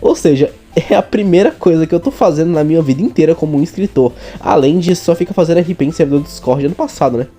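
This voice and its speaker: A man's voice: on the high side, fast talker, few pauses, mellow, slightly rough, soft background music. A young Brazilian man chatting casually to his viewers in an earnest, informal way. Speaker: vlogger and amateur writer.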